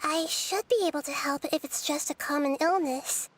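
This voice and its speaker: Wise girl goddess, played by a woman: high, soft and reassuring, calm and thoughtful. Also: normal speed, background noise, natural voice. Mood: gentle, thoughtful. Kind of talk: video game voice acting.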